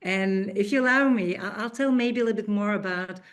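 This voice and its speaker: A woman's voice: mid-range voice, brisk. A woman in her fifties from Belgium, a coach telling her story in a podcast interview, friendly with small restarts. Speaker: guest, coach and speaker.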